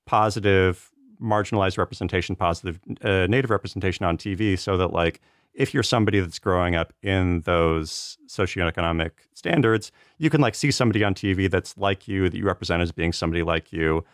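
American guy with a low voice chatting casually, earnest and rambly, really dry sound. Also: brisk, no room sound. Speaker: podcast panelist, TV writer. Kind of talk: casual conversation. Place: remote video chat recording.

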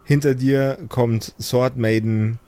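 A man's voice: medium pitch, fairly even intonation, takes it slowly, crowd noise. A man improvising narration as the game master of a pen-and-paper audio play.

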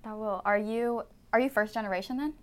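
A woman's voice: on the high side, a little fast, slight background noise. A young woman interviewing an athlete, enthusiastic and chatty, laughing easily. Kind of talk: upbeat interview questions.

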